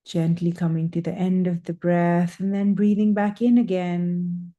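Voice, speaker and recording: A woman leading a guided breathing meditation, speaking in slow, soothing, drawn-out phrases with long pauses. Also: lowish voice, little pitch movement, warm tone, highs cut off.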